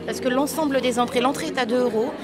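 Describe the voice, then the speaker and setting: A woman's voice: high voice, quick pace. A middle-aged woman giving spontaneous interview answers as a local official, into a microphone in a busy event hall. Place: busy indoor event hall with bar counter. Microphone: microphone held between interviewer and guest. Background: loud music and crowd chatter.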